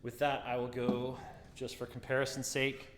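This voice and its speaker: A man with a deeper voice giving a casual guitar review, musing and repeating words as he talks. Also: completely flat delivery, moderate pace, roomy sound, long reverb tail, a little hiss or hum.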